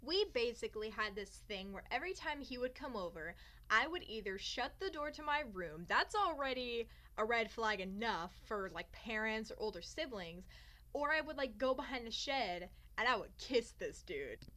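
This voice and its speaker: Young woman doing a storytime vlog, high bright voice, kinda dramatic n sarcastic. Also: expressive, normal speed. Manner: theatrical, sarcastic, self-mocking. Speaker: vlogger telling a personal story. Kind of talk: casual storytime chat to camera.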